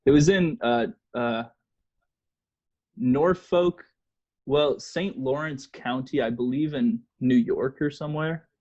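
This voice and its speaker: A man's voice: medium pitch, takes it slowly, a little mellow, muffled recording. A man hosting a casual comedy podcast, talking in a joking, mock-serious manner. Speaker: podcast host.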